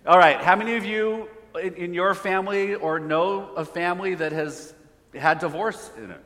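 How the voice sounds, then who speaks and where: A man's voice: sits high, moderate pace, fairly bright tone. A man preaching a conversational sermon, asking the audience questions. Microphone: phone hotspot for slides. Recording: echoey hall reverb.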